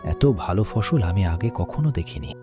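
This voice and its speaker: A poor, honest farmer acted by a man in a cartoon folk tale, with a lowish voice. He speaks earnestly and plaintively as a humble family man, with music clearly playing behind him. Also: sing-song, normal speed. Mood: hopeful, gentle. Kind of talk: cartoon fairy tale acting.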